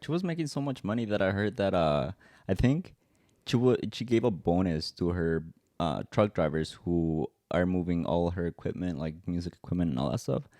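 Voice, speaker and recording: Young male podcast co-host with a Spanish accent, very low mellow voice, relaxed and hesitant, dry mic. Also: moderate pace, no echo. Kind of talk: casual chat.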